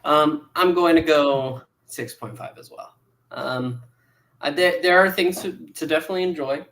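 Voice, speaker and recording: A man hosting and moderating a casual chat show in a halting, informal manner. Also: on the high side, fairly bright tone.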